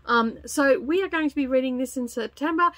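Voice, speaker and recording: A middle-aged woman with a fairly high voice presents a book vlog in a casual, chatty way. The sound is dry and close, with little room echo. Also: brisk, fairly bright tone. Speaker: book channel host.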